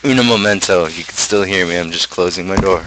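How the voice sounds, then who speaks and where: Young male streamer, low register, casual and opinionated rambling, light noise behind the voice. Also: brisk. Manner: opinionated, ranting. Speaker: livestream host. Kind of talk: casual rambling livestream chat.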